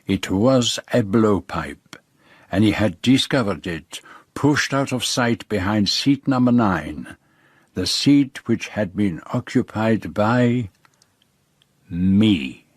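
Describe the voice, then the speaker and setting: Casting: genteel Belgian sleuth, French-speaking accent, slightly husky, precise and self-assured, radio drama. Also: male voice, lowish voice, lively intonation, slow, exaggerated voice. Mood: measured narrating. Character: courteous, self-assured foreign detective, older dapper man. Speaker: lead detective and narrator. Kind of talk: radio drama acting and narration.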